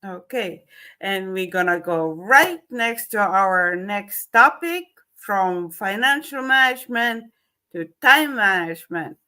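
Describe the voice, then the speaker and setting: Estonian-accented woman host, bright clear tone, friendly interviewer, casual questions. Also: mid-range voice, lively intonation, takes it slowly. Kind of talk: online interview.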